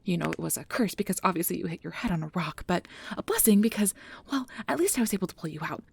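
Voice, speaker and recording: Woman acting a fast-talking, nervous magic researcher. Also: lowish voice, expressive, gravelly, a little hiss or hum. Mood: explaining, flustered. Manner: rambling, flustered, apologetic, halting. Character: nervous, rambling, caring young female magic researcher. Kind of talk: ASMR roleplay audio drama.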